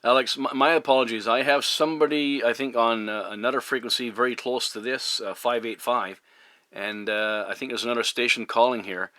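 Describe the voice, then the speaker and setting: A middle-aged man from Newfoundland, Canada, chatting casually as a CB radio operator, courteous and halting in his phrasing. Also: mid-range voice, fairly even intonation, bright, forceful tone, dry recording. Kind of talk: casual radio contact chat. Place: at a CB radio station. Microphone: radio microphone.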